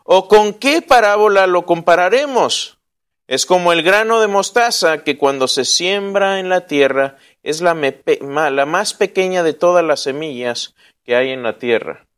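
A high-pitched man teaches the Bible in a relaxed class. He jokes and asks his listeners questions. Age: 40s. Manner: joking and chatty, asks the audience questions.

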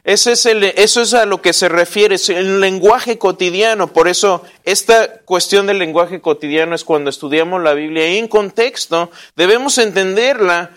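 Man in his forties, high voice, chatty Bible teacher, jokes with listeners. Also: normal speed, few pauses, a bit bright. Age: 40s. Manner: joking and chatty, asks the audience questions. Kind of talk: informal Bible class.

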